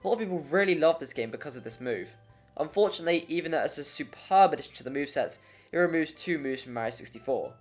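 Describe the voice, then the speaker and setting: An informal narrator talks through a scripted video essay at a medium pitch. The delivery is jokey and wanders into casual asides. Music plays behind the voice. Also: lively intonation, quick pace. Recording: music under the voice. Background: background music.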